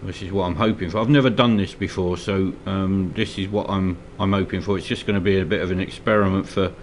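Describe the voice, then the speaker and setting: Deep-voiced man with a stuffy cold. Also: fast talker, slight background noise. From Scotland. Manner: relaxed, hesitant, many ums and uhs. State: slightly congested from a cold. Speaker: miniature painting tutor. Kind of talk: casual hobby tutorial narration. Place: indoor hobby desk.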